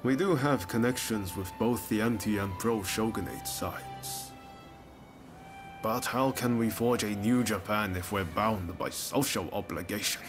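A man's voice: lowish voice, measured pace, close to an everyday voice. A man playing an earnest young ronin over prominent music. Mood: reflective, resolute. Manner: casual, earnest, encouraging. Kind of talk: video game cutscene acting. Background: game music.

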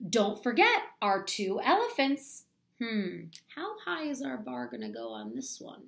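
A woman in her thirties reading a children's book aloud like a teacher, explaining deliberately and asking the young listeners questions. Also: mid-range voice, huge pitch swings, creaky, fairly bright tone. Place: small plain room at home, indoors.

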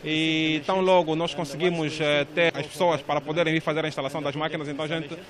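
A male business owner talks in a halting way, repeating words and pausing on fillers. His voice sits a little high. Crowd noise is clear behind him outdoors. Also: little pitch movement. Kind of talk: interview answer.

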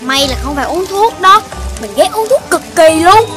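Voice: very high-pitched, expressive, moderate pace. An actor voicing an eager, playful, outspoken little child in a children's cartoon. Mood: helpful. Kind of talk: children's animated cartoon voice acting. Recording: music under the voice.

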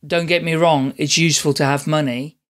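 A man hosts a podcast interview. His voice sits somewhat high for a man. He speaks in a measured, encouraging way, with fillers and small restarts. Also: steady tone. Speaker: podcast host.

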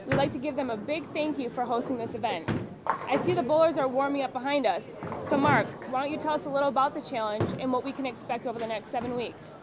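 A young woman co-hosts a bowling show with an eager, bright delivery in a high voice. She is recorded on a lapel mic in a bowling alley. Loud music runs beneath her. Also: quick pace. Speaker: co-host.

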